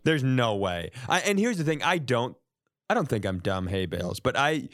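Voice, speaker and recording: A man's voice: mid-range voice, sing-song, normal speed, a bit bright, no room sound. A man tells a comic personal story in a very dry, close recording. He talks casually as a podcast host, swearing freely, playing up indignation and acting out both sides of exchanges. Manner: mock-indignant, profane, acting out quoted exchanges. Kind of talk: comic anecdote, casual banter.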